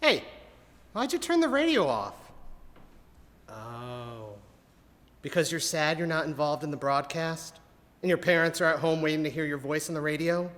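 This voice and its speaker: A man's voice: fairly high pitch, animated, moderate pace, long pauses, fairly bright tone. A man playing a clueless, tactless, chatty listener in a comic radio play, blurting questions obliviously. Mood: oblivious, inquisitive. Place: live on stage in a ballroom. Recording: live hall reverb.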